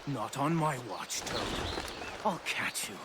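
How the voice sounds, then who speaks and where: An actor playing a determined, vengeful pursuer with a menacing manner in video game dialogue. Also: male voice, medium pitch, expressive, slow pace, heavy background noise. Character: determined, vengeful pursuer hunting his foe.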